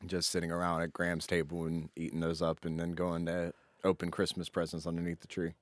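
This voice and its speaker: Deep-voiced man chatting casually, very dry sound. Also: monotone, brisk, no echo. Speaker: podcast co-host. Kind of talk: casual conversational chat.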